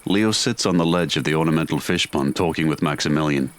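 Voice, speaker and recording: A man's voice: extremely low pitch, fairly quick, no echo. A man reading scripted, dramatic story narration for an audio drama. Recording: music playing behind the narration.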